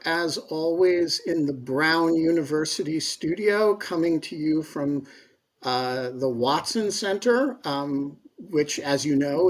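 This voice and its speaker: Podcast host, male, unhurried delivery, casual, joking, filler-heavy. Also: fairly high pitch. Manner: loose and joking, many fillers. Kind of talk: casual round-up chat. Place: studio.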